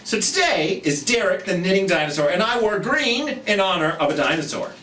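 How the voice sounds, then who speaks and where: A children's storytime host reading a picture book aloud, slowly and expressively, with chatty asides to young listeners. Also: medium pitch. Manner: slow, expressive, speaking directly to children. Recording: roomy, distant mic, background noise.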